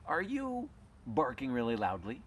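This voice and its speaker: A man's voice: medium pitch, huge pitch swings, husky, heavily acted. Man playing a kindly, lilting storybook queen. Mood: measured, helpful. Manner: measured, gently questioning. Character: kindly, welcoming storybook queen, calm and helpful. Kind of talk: animated children's oral storytelling.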